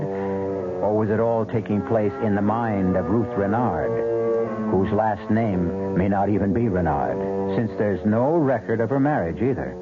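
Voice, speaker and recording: Low, theatrical male suspense narrator over music. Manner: theatrical, rhetorical, questioning. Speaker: radio drama host and narrator. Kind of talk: dramatic scripted suspense narration. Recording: dull old radio broadcast. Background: loud dramatic music.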